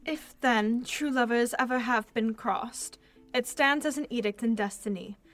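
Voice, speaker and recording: A woman's voice: a little high, fairly bright tone, faint music behind. A young woman playing a devoted young Athenian lady in love, reciting Shakespearean verse earnestly in a radio play. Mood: sorrowful, resolute. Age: young adult. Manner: earnest, measured verse delivery.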